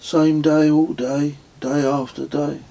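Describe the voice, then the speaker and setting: A man's voice: fairly high pitch, level tone, slow, gravelly, dark tone, pitched above the performer's own voice. A man voicing a curious, dreamy nine-year-old boy in an audiobook, with a questioning, musing manner. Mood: bored, curious. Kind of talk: audiobook character dialogue.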